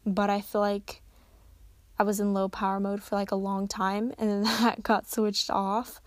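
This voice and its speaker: A woman's voice: mid-range voice, flat delivery, unhurried, no echo. Tired, chatty young woman podcaster. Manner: rambling, chatty, self-correcting. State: tired, slightly raspy. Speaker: podcast host. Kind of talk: casual solo podcast chat. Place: at home. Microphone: close desk microphone.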